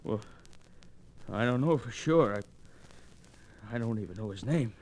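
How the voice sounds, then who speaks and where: A man's voice: lowish voice, lively intonation, slow, darkish tone. A man playing a cornered, wary American tough guy, terse and hesitant in old radio crime style. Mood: shaken, hesitant. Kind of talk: 1940s-50s radio crime acting. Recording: noisy, crackly vintage radio recording.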